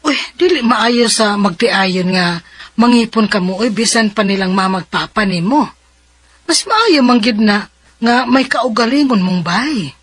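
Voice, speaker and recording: An actress with a Cebuano accent playing a practical, generous unmarried aunt who gives advice in a radio drama. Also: female voice, a little high, animated, measured pace, husky, dry recording. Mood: advising.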